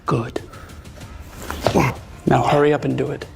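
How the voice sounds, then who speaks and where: A man's voice: mid-range voice, little pitch movement, unhurried, vocal fry, music in the background. A man playing a haughty, blunt young bachelor duke in an audio drama, curt, sarcastic and contemptuous. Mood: impatient, threatening.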